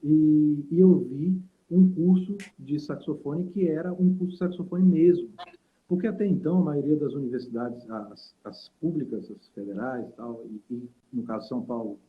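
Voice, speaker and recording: A man's voice: a little high, normal speed. A man from Salvador, a guest saxophonist and teacher telling stories in a rambling, reflective way with many fillers over a video call. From Salvador, Brazil. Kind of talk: casual interview storytelling. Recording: tinny call audio.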